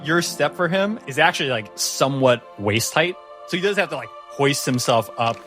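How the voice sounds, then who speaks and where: A man's voice: mid-range voice, animated, moderate pace, fairly bright tone, soft background music. A man acting as game master, narrating a tabletop game with descriptive, casually phrased improvisation.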